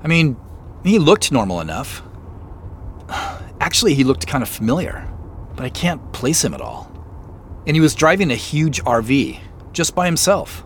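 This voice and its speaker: An actor playing an inquisitive, slightly sheepish amateur sleuth in a naturalistic audio drama, talking in an easygoing, conversational way. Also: male voice, a little high, huge pitch swings, moderate pace, pauses often. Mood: puzzled, earnest. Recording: faint vehicle noise behind.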